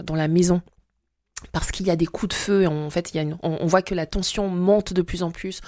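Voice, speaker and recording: A woman in her forties from Congo-Brazzaville, with a fairly low voice and a dry, close sound. She talks haltingly, with many fillers and restarts. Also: darkish tone, no room sound. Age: 40s. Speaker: guest. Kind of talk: testimony interview.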